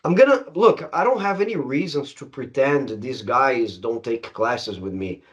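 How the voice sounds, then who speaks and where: A man's voice: mid-range voice, animated, normal speed. A middle-aged man from Brazil, a native Portuguese speaker, hosting a podcast in English with an emphatic, storytelling way of talking. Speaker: podcast host; English teacher. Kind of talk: conversational storytelling and interviewing.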